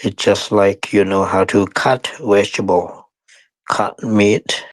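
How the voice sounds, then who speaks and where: A man teaching guided meditation in short, unhurried phrases with pauses and non-standard English grammar. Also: on the low side, steady tone, dry recording, poor audio.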